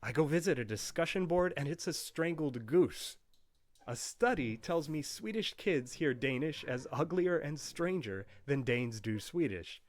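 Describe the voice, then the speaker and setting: Playful narrator, animated voice. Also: male voice, on the high side, measured pace. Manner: playful, wry, storytelling. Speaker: narrator of a language history video. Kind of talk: scripted narration. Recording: narration with faint background music.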